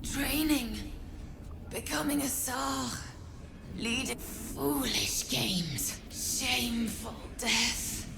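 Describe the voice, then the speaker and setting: An undead woman from a fantasy game, speaks in broken fragments. Her voice is high yet creaky and whispery, extremely slow. Loud ambient noise surrounds it. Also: full character voice. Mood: lifeless, pained. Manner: halting, clipped fragments. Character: dead woman's corpse answering in halting fragments.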